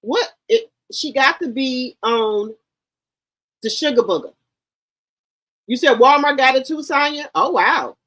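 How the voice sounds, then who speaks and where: Chatty husky woman in her fifties. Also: high-pitched, animated, a little slow, pauses often, a bit bright. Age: 50s. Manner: chatty, talks straight to her viewers. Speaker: livestream host. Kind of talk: gossip commentary livestream.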